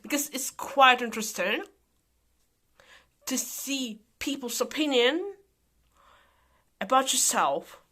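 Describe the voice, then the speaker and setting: A Russian-accented woman speaks to camera in an unhurried, thoughtful way. Her voice is bright and fairly high, and she takes her time over each phrase. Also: lively intonation. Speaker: vlogger. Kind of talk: talking to camera.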